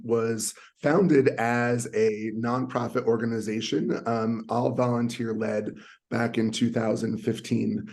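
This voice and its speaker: A man with a slightly raspy voice, a guest on a video call panel, talking earnestly with many fillers and restarts. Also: medium pitch, a little mellow. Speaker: guest, community center leader. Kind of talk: virtual panel conversation. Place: conference hotel.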